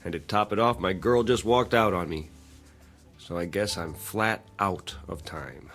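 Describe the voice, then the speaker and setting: A man's voice: low-pitched, measured pace, fairly bright tone, faint music behind, heavily acted. An actor playing a harried young working man in an audio drama, wry, weary and plainspoken, doubtful of what he hears. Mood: harried, dejected.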